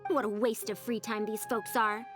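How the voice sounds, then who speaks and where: A woman's voice: on the high side, level tone, moderate pace, over music, lightly acted. A woman voicing a proud, fussy scholar in a video game, with formal, old-fashioned phrasing and the airs of an elder. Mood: exasperated. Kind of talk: video game character voice acting.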